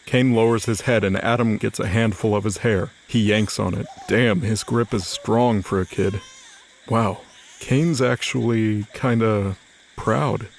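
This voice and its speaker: A man's voice: on the low side, slight background noise. A man narrating a scripted audio drama as storyteller.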